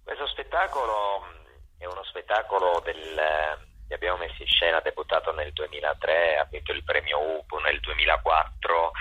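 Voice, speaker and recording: On a dull telephone line, a man speaks in an extremely low, rough, husky voice. He is an interview guest who explains himself haltingly, repeating phrases while he looks for the next one. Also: bright, forceful tone. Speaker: interview guest, playwright and actor. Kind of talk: phone interview, explaining his work.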